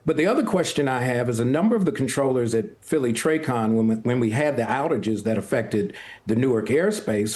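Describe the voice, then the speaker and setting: A man gives analysis as a guest on a news broadcast. His mid-range voice is measured and deliberate, and he often frames his points as questions. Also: mid-range voice, darkish tone. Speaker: news guest, former air traffic controller. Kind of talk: live news interview analysis.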